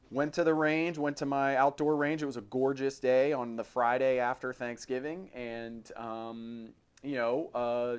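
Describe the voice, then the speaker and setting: A man's voice: medium pitch, clean tone. A middle-aged man with an American accent hosts a podcast in a casual, chatty style with a wry, jokey streak. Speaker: podcast host. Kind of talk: casual chat about firearms.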